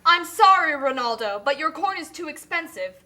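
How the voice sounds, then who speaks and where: A woman's voice: high voice, expressive, normal speed, bright, forceful tone. A woman voicing a poor, apologetic family shopper in a puppet show. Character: poor, apologetic mother shopping for cheaper corn.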